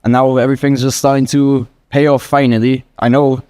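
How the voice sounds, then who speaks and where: A young man in his early twenties answers questions with earnest, rambling sincerity, leaning on filler words as he thinks aloud. His voice sits at an ordinary pitch with a mellow, warm tone. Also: flat delivery, fairly quick. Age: 20s. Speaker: guest trader. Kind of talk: casual interview answers.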